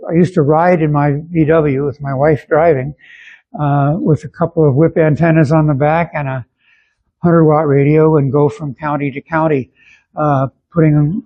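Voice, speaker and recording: An older man giving an informal club talk, explaining things in a halting, unhurried way. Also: fairly high pitch, narrow, tinny audio. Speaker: amateur radio club guest speaker.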